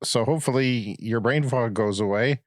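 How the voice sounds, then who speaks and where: A man's voice: mid-range voice, normal speed, dry recording, clean studio sound. A man co-hosting a podcast in casual banter, with a jokey, exclamatory way of talking. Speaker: podcast co-host. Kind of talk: casual bantering chat with some scripted show intro.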